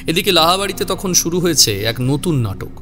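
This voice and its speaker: A man's voice: a little high, big pitch swings, a little fast, music in the background. A man narrating a scripted horror story in a dramatic style.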